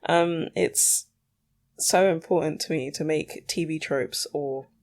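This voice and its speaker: A woman's voice: sits low, slow pace, a little mellow. Candid young woman podcasting casually. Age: young adult. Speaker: podcast host. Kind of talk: casual solo podcast ramble.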